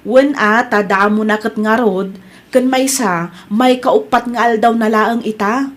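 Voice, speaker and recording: A Filipino woman with an Ilocano accent playing a fair-minded coworker who reasons and gently reproves, in a comedy-drama. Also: fairly high pitch, unhurried, natural voice. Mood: reproving.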